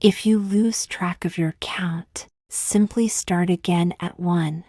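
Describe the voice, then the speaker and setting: A woman gently narrating a guided meditation in a soft, breathy, soothing voice, slowly and with long pauses. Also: fairly low pitch, steady tone, no echo, clean studio sound.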